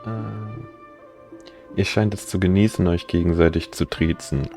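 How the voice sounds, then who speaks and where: Low-voiced, slow-talking male game streamer. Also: steady tone, mellow, soft background music. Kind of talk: casual live commentary over a game.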